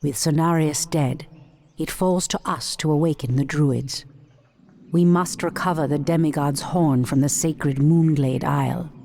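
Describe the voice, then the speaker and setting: An actress playing a solemn, commanding night elf priestess and war leader in a video game, speaking gravely and formally. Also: female voice, low-pitched, fairly even intonation, measured pace, dark tone. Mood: grave, urgent. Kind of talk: video game cutscene acting.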